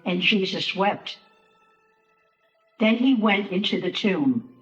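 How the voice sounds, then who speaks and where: A woman's voice: medium pitch, animated, takes it slowly. A woman playing a grateful, grieving sister in a Passion drama, with a subdued, sorrowful delivery. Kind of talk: dramatic monologue in a church Passion play. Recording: echoey, slightly dull, music behind.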